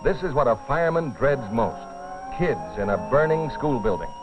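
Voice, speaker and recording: A man's voice: medium pitch, big pitch swings, moderate pace. A man narrating a safety training film as a dramatic scripted story, in a grave, urgent, theatrical manner. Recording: dull vintage film soundtrack with music.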